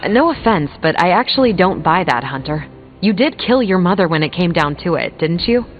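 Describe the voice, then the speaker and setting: A woman's voice: lowish voice, animated, fairly quick, close to an everyday voice. An actress plays a candid, sardonic superheroine from a video game. She talks bluntly and colloquially. The sound is narrow and phone-like, with music behind her. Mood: needling. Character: frank, sardonic soldierly superheroine, adult woman. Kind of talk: video game character dialogue.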